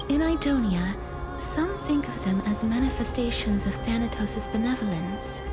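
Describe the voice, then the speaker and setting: A woman voicing a composed, thoughtful traveler in a video game, speaking softly in a formal, reflective way, at times breathy. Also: on the high side, close to an everyday voice. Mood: grave, explanatory. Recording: loud music under the voice.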